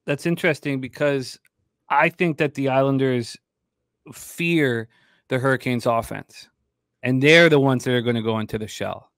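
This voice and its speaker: A man's voice: medium pitch, normal speed, a bit bright, dry recording. A man hosting a sports betting show, talking casually into a close desk microphone. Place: indoor studio setup at a desk. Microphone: large desk microphone close to the mouth.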